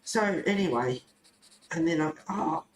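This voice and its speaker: A man chatting casually and informally, rambling along as he hosts a relaxed hobby stream. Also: a little high, unhurried. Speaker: host of a colouring club stream. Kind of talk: casual rambling chat while colouring. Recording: roomy echo, faint background noise.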